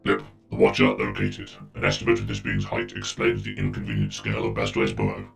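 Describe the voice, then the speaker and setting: An actor voicing a cold, inquisitive ancient battle machine in a game, his voice run through a robot filter and delivered with flat, clipped precision. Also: fairly quick, music in the background, heavily acted. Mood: curious. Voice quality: extremely deep, gravelly, mechanical. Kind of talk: game cutscene dialogue.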